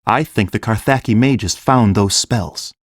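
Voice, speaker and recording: A man playing a kind, grave fantasy king in a full-cast audiobook, speaking in a gentle, measured, earnest way. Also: on the low side, dry recording.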